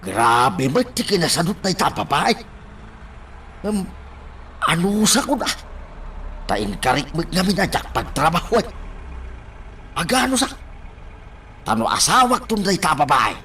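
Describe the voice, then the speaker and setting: A man's voice: fairly high pitch, sing-song, unhurried, long pauses, bright, forceful tone, a little hiss or hum, exaggerated voice. A man playing a put-upon husband in a radio drama, pleading and grumbling as he gives in. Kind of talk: Filipino radio drama acting.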